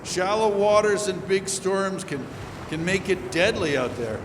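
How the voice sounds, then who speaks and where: A man's voice: high-pitched, animated, unhurried. A man playing a seasoned, practical lake steamer captain in old-time radio drama. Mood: practical, cautionary. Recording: echoey hall with background noise.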